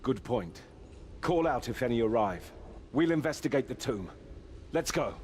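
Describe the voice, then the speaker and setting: Guy doing chivalrous knight in a fantasy game, proud and formal, medium pitch, some noise behind. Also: animated, normal speed, darkish tone, lightly acted. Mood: courteous, cautious. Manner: formal, courtly, declamatory. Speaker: game character. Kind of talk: voice-acted fantasy quest dialogue.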